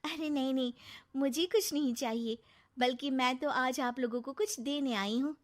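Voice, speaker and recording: A woman's voice: sits high, normal speed, natural voice. A woman voicing a sweet, polite young female student lodger in a cartoon story. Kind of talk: cartoon moral story acting.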